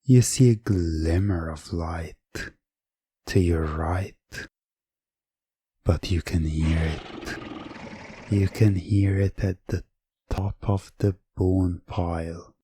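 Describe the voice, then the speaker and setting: Very slow, low-voiced male storyteller. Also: fairly even intonation, dark tone, breathy edge, no echo, clean studio sound. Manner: measured, deliberate, dramatic pauses. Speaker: narrator. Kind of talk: second-person scripted story narration, hypnosis ASMR audio.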